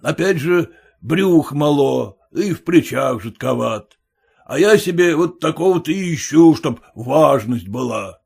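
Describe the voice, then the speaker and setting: A man's voice: a little high, lively intonation, unhurried, vocal fry, darkish tone, slightly rough, heavily acted. A man voicing a stingy, domineering merchant who speaks bluntly and scolds his servants. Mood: gruff bossy. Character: gruff stingy old merchant, bossy.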